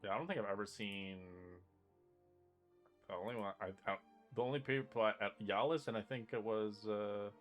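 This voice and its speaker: A man's voice: fairly low pitch, moderate pace, faint music behind. A man chatting casually before a tabletop game, rambling and restarting his sentences, laughing easily.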